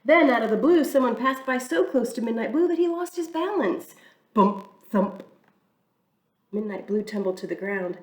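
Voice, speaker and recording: Woman, storybook read-aloud, lively sing-song pitch, roomy echo, mic set back. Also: moderate pace. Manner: expressive, storytelling. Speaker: church reader. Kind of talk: children's picture book read-aloud. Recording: echoey room, mic far off.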